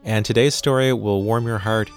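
A man hosting an audio drama podcast with a slightly low voice, easygoing and conversational. Light guitar music plays under his talk. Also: moderate pace. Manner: relaxed, conversational, with light hesitations. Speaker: podcast host. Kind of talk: chatty intro and ad reads.

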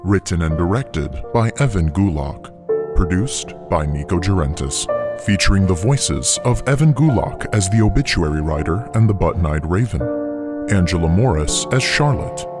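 A man reads a podcast's closing credits in a low, steady, measured voice. Loud music plays beneath his voice the whole time. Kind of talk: reading credits.

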